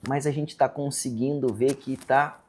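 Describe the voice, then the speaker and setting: A man's voice: medium pitch, fairly even intonation, measured pace. A man hosting a podcast as a neurosurgeon, speaking earnestly in a casual interview chat with a Brazilian Portuguese accent. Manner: earnest, hesitant restarts, frequent "tá?" tags. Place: podcast studio.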